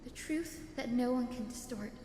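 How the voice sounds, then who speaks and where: A woman playing a gentle, earnest young healer in a game, soft-spoken and politely measured, with quiet resolve. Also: fairly high pitch, a little hiss or hum, lightly acted. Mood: solemn, resolute. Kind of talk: dramatic game story acting. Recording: echoey hall reverb, music behind.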